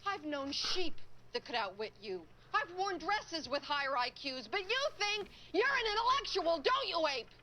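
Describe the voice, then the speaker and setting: A woman's voice: very high-pitched, sing-song, normal speed, pushed and bright, exaggerated voice. A woman voicing a haughty, scornful female fashion pony in a comedic cartoon parody.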